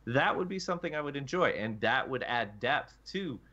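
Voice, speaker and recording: Male gaming podcaster, lowish voice with a sharp edge, emphatic and long-winded, casual delivery over lo-fi chat audio. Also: expressive, normal speed. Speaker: podcast panelist. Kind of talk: casual panel discussion about a video game.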